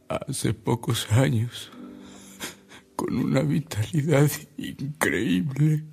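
Radio drama: devoted, tired reminiscer, solemn and deliberate, creaky voice. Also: male voice, on the high side, darkish tone. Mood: moved, sorrowful. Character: don Alberto, tired devoted man reminiscing sadly. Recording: music behind the voice.

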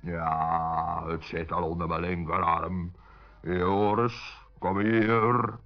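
A man playing a calm, kindly but commanding giant in a children's radio play, speaking in a measured, authoritative way. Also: sits low, vocal fry, heavily acted. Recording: dull, muffled old radio recording.

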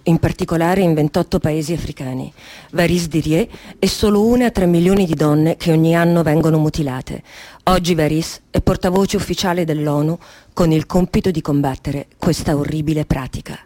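A woman narrator with a fairly low, dark and mellow voice. She reads a documentary script formally and factually, in a very dry, close sound. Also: brisk, dry recording.

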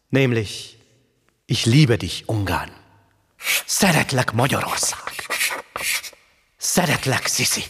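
An actor playing a passionate teacher of Hungarian in a radio play, husky, emphatic and didactic. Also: male voice, mid-range voice, fairly bright tone, in a large hall, slight background noise. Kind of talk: radio play acting, lecturing.

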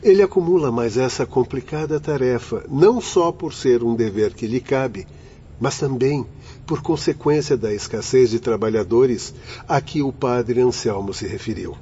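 A Brazilian man playing a calm spirit instructor in a radio drama, composed and didactic. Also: mid-range voice, moderate pace, close to an everyday voice. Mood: calm, instructive. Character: calm male spirit instructor explaining methods. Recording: dull audio with background noise.

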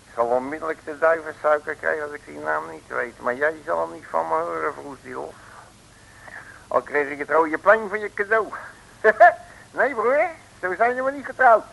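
A man's voice: a little high, normal speed, gravelly, fairly bright tone, exaggerated voice. An actor playing a cocky, streetwise male detective with a breezy, slangy, mocking manner in a 1950s radio serial. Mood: mocking, defiant. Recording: hissy, noisy old radio recording.

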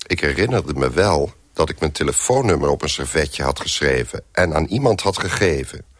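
A man's voice: rumbling low, lively intonation, normal speed. An actor playing a hungover, bedraggled man who tells his misadventures in a wry, self-pitying way in a radio play. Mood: wry, groggy. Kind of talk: first-person radio play narration.

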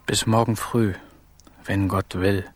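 A man's voice: lowish voice, little pitch movement, slow pace, heavy background noise. An actor playing a wry, irreverent man who tells a crime story in colloquial present tense for a radio play. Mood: relaxed. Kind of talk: colloquial first-person radio drama narration in present tense.

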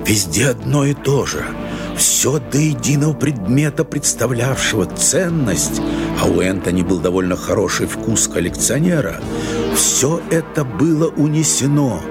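A man's voice: medium pitch, animated, a little slow. A man reading scripted narration as the storyteller of an audio drama. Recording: music under the narration.

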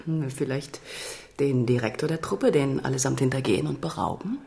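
An actress playing a proud, principled young countess, formal, frank and self-assured, in a German radio drama. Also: female voice, very deep voice, moderate pace, gravelly, dark tone. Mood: cool irony.

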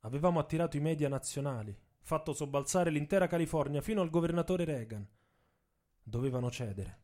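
Man playing a proud, indignant young professor, higher-pitched. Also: expressive, fairly quick, darkish tone, lightly acted. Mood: defiant. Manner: emphatic storytelling in the first person. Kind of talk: dramatic radio monologue. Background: music with instruments.

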